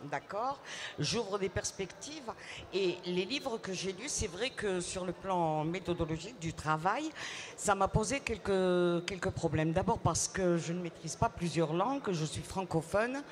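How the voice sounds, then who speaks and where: A woman's voice: fairly low pitch, slight background noise. Reflective woman author answering questions. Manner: reflective, measured, many hesitations and repeated words. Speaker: author being interviewed. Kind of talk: thoughtful interview answers. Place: book fair at a city hall.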